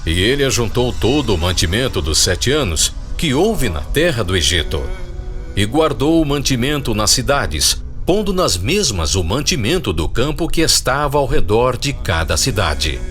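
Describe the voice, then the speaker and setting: A man's voice: medium pitch, very animated, normal speed, fairly bright tone, soft background music. A man narrating a dramatized audio Bible in a scripted storytelling style.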